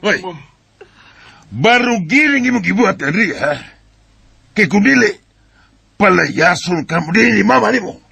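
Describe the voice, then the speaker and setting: A man's voice: high voice, animated, a little slow, vocal fry, long pauses, breathy edge, dry recording, heavily acted. A man acting an angry, hot-tempered relative with a Bisaya Filipino accent, berating someone sharply in a radio drama. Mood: angry, threatening. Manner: sharp, confrontational.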